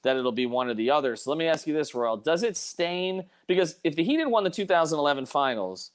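A man's voice: on the high side, animated, fast. A man hosting a casual sports debate, thinking aloud in questions. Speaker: sports podcast host.

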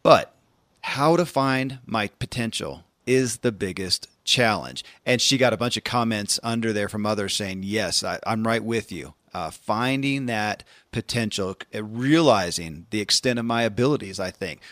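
A man's voice: fairly low pitch, expressive, normal speed, a bit bright, no echo. A man hosting a podcast in a conversational style, reading out messages and responding. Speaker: podcast host.

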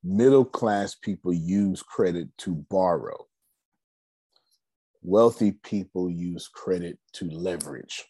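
A man in his forties giving a casual, energetic finance seminar talk, asking rhetorical questions and answering them himself. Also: low voice, slow, slightly rough, no room sound. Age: 40s. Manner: loose, energetic, repeats key points. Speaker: finance speaker.

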